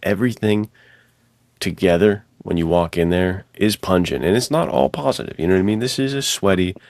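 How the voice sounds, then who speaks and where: Very deep, gravelly man chatting casually. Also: fairly quick, darkish tone, no room sound, studio quality. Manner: slangy, enthusiastic, illustrates points with mimicked sounds and quotes. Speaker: podcast co-host and adventure fiction writer. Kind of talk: casual conversational podcast chat.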